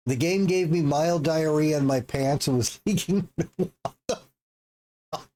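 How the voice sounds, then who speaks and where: A man's voice: fairly high pitch, unhurried, dark tone. A man streaming a game live, reacting with jokes and swearing and working out numbers aloud. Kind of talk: casual live-stream commentary.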